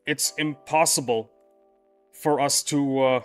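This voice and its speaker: A man's voice: mid-range voice, fairly even intonation, takes it slowly, clear, resonant tone. A young man chatting loosely with viewers during a game livestream, often restarting his sentences. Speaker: game streamer. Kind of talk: casual livestream chat with viewers. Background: faint background music.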